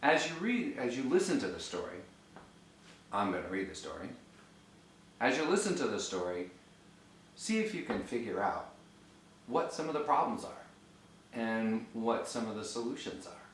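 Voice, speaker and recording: A man reading a children's story aloud in a deliberate, expressive way. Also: mid-range voice, slight background noise, rough recording.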